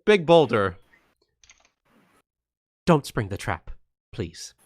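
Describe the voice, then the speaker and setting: Man doing a cautious adventurer, hushed, low, careful, pleading. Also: sing-song, drawn-out words, dry recording, studio quality, heavily acted. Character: cautious adventurer warning the party.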